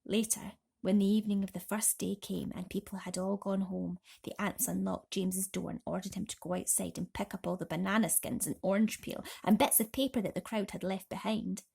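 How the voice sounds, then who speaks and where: A woman reads a bedtime story in an expressive, dramatic manner. Her voice sits at a medium pitch and often sinks into a breathy hush. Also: fairly quick, hardly any pauses. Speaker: storybook reader.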